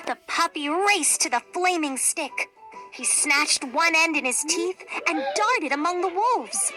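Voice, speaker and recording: A woman reads a children's storybook with a high, bright voice. Her delivery is expressive and dramatic, taking its time over each phrase. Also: poor audio. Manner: expressive, deliberate. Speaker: storybook reader.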